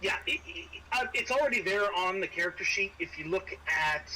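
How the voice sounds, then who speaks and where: Bright-voiced man, slightly high, running a game. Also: lively intonation, a little fast. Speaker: game master. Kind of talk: narrating and refereeing an online tabletop game. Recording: noisy room recording.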